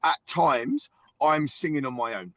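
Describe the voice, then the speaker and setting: Hoarse, excitable football fan. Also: male voice, medium pitch, no room sound. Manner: excitable, talks in quick restarts. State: hoarse from singing. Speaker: season-ticket-holding fan guest. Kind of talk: casual podcast chat. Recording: dull lo-fi dry sound.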